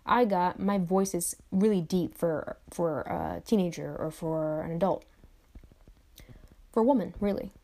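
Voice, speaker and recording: A woman's voice: lowish voice, unhurried, dark tone. A young adult woman speaking to camera in a reflective motivational monologue, repeating phrases and tossing in conversational asides. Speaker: vlogger.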